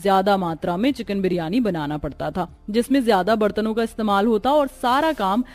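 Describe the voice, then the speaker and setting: A woman narrating a scripted cartoon story in an expressive storytelling manner. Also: mid-range voice, brisk, no room sound, soft background music.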